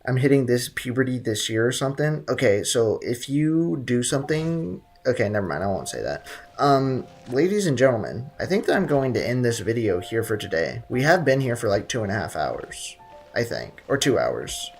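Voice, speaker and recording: A man's voice: mid-range voice, very animated, moderate pace, creaky. Male livestream host, casual gaming commentary, blunt teasing banter, music in the background. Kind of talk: casual game streaming commentary, reading chat.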